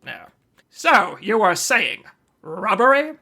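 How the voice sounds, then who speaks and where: Theatrical, lively secret agent turned radio detective. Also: female voice, mid-range voice, slow, full character voice. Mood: jaunty, wisecracking. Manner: theatrical, wisecracking narration. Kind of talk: 1940s radio detective acting.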